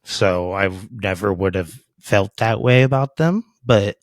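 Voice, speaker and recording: Man in his thirties, fairly low voice, blunt and profane, close dry mic. Casual podcast guest. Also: a little slow, no echo. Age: 30s.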